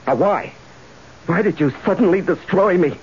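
A man's voice: sits high, animated, moderate pace. An actor playing an anxious, ambitious husband and company man, stammering and pleading in a radio drama. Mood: hurt, accusing. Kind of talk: 1970s radio drama acting. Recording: dull, hissy old radio broadcast.

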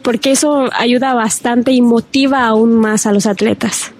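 A woman's voice: a little high, steady tone, unhurried. A young woman with a Mexican accent, a student athlete answering interview questions casually and a little hesitantly. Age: young adult. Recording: faint background noise.

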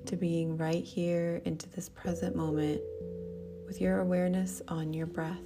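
A woman with a fairly low voice leads a guided meditation. She speaks gently and soothingly, like a teacher giving unhurried instructions. Music plays clearly behind her. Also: level tone.

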